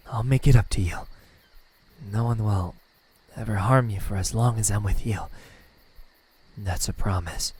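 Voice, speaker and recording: Breathy, slow-spoken lovesick demon played by a man. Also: low-pitched, exaggerated voice, poor audio. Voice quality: breathy, soft, half-whispered. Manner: hesitant, halting, stammering. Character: lovesick male demon, lonely, tender, self-doubting. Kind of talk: ASMR romantic roleplay.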